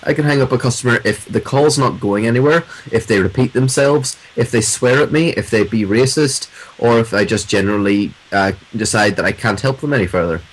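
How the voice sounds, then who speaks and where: A man's voice: medium pitch, a little fast, hardly any pauses, low-quality recording. A man who works in a call center, chatting casually and telling stories from his job. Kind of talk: casual podcast chat.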